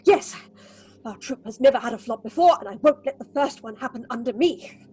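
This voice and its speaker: An actress playing a stern, anxious, domineering theatre director who talks in a melodramatic way in an audio horror drama. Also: female voice, on the high side, expressive, a little fast, a little mellow, soft background music, close to an everyday voice. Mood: determined. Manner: imperious, melodramatic, talks aloud to herself.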